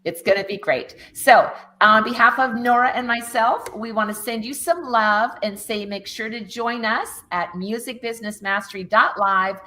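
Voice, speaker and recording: A woman's voice: fairly high pitch, sharp tone. Upbeat Canadian woman host. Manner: upbeat, enthusiastic, promotional. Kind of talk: casual interview.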